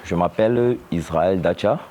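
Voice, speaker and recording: A man's voice: low-pitched, normal speed, mellow, slight background noise. A man from northern Cameroon, introducing himself with some hesitation. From Far North Cameroon. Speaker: engineer.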